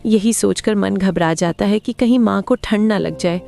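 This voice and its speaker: A woman's voice: medium pitch, normal speed, creaky, dark tone, soft background music, natural voice. A woman playing the worried wife of a poor labourer in a cartoon moral story, pleading and complaining.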